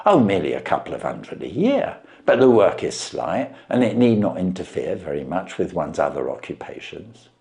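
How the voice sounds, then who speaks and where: Man doing storybook shop assistant, male, eager, coaxing, smooth-talking, very lively pitch. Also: moderate pace, few pauses, heavily acted. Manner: coaxing, animated explaining.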